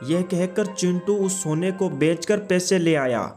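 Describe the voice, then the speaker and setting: A man tells a cartoon story in a steady, scripted narration with a slightly raised pitch. Faint music sits behind his voice. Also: measured pace.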